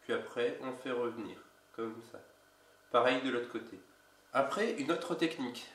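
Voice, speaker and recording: A man with a cold, an energy healer giving a casual instructional video in a slow, halting way. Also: medium pitch, fairly bright tone, distant mic. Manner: slow, halting, with many pauses. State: has a cold. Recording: roomy echo, away from mic.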